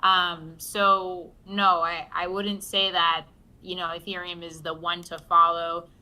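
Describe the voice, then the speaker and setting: A woman co-hosting a crypto livestream speaks with a very bright, sharp tone. She talks casually, leaning on fillers like "like" and "um.". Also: fairly low pitch, flat delivery, slow pace, rough recording.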